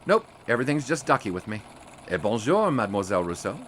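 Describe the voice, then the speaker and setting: An actor playing a wry young 1920s sportswriter who narrates in the first person. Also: male voice, lowish voice, big pitch swings, quick pace, fairly bright tone, slight background noise. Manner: wry and breezy.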